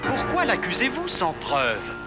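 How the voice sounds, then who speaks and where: Casting: cartoon fennec sleuth, male, sceptical and probing, very animated melody, loud score behind. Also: mid-range voice, over loud music. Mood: accusing. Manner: questioning, deliberate, sceptical. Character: Fennec, shrewd, calm male fennec fox detective.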